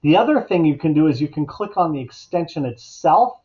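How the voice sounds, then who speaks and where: A middle-aged man giving a casual, instructional software tutorial as an educator at his computer. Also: a little high, animated, fairly quick. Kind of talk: casual instructional screencast narration. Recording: dull, lo-fi computer mic sound.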